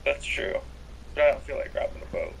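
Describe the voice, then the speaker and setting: A man's voice: mid-range voice, expressive, brisk, creaky, a bit bright, a little hiss or hum, rough recording. A man chatting casually as one of the players in a group game. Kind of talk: casual gaming chat.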